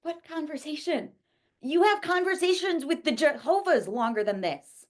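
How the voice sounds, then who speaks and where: A woman acts out a combative young female office worker in a dramatic script reading. The voice is high and very animated. She argues with sharp sarcasm and heavy emphasis. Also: normal speed. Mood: defiant. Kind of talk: dramatic table-read acting.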